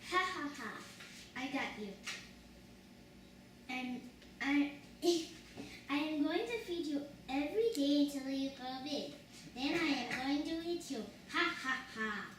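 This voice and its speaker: A woman's voice: sits high, a little slow, long pauses. A woman voicing a mean, greedy old witch, wheedling and menacing, in a live amateur children's puppet show. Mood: gloating menace. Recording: echoey room, distant mic, noisy.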